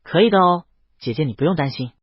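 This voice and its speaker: A man voicing a gentle, earnest young male dragon in an audiobook, polite and eager to reassure. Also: on the high side, expressive, quick pace, slightly rough, no echo, dull audio. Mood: eager, reassuring. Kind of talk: audiobook character dialogue.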